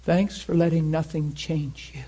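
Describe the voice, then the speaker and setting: A man doing God's voice, tender, slow, hushed, husky. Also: level tone, poor audio. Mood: solemn. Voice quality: soft, lowered, whispery. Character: God speaking tenderly, soft and hushed.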